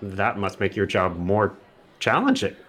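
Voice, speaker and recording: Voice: low-pitched, huge pitch swings, measured pace, fairly bright tone, heavily acted. An actor playing a podcast interviewer who asks probing questions with feigned innocence.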